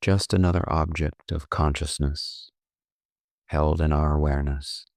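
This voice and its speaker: A man leading a guided meditation as a coach, calm and unhurried, gently instructive with long pauses. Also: rumbling low, no room sound, studio quality.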